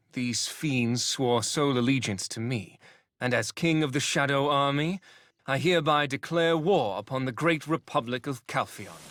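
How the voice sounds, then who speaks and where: An actor playing a vengeful, gloating dark lord in a video game, speaking in a deliberate, menacing, theatrical way. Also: male voice, medium pitch, no room sound, clean studio sound, lightly acted.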